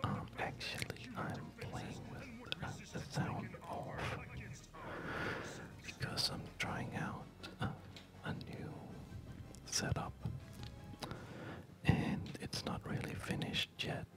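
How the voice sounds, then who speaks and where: An ASMR host whispering an unhurried, hesitant commentary while playing a strategy game. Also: over loud music. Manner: hesitant, unhurried, softly rambling.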